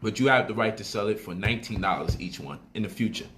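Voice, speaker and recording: A man's voice: fairly low pitch, fast, fairly bright tone, a little hiss or hum. A man casually explaining stock options on a livestream, repeating phrases for emphasis and switching into loose street slang.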